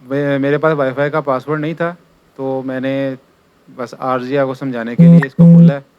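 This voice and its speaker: Man, clean clear voice, informal tutorial narration, installer at work, step-by-step, repeats instructions. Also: mid-range voice, fairly even intonation, normal speed, dull audio. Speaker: electrician giving installation tutorial.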